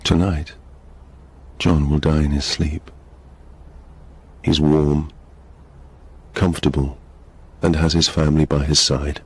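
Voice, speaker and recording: A man narrating a public information film in a solemn, deliberate way, on a noisy soundtrack. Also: a bass voice, flat delivery, darkish tone. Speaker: voice-over narrator. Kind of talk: scripted narration.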